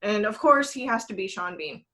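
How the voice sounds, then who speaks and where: A woman's voice: medium pitch, expressive, normal speed, fairly bright tone. A woman co-hosting a casual panel chat about games and movies, talking informally and with enthusiasm, swearing freely. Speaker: podcast co-host.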